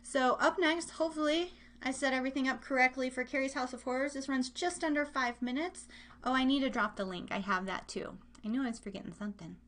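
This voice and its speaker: A woman's voice: sits high, fast, a bit bright. A woman hosting a live online radio show in casual, rambling, chatty talk, often poking fun at herself.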